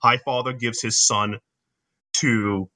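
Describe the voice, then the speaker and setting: Man, Haitian accent, casual podcast chat, very bright tone, enthusiastic. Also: mid-range voice, level tone, slow. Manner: enthusiastic, explains at length. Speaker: podcast co-host.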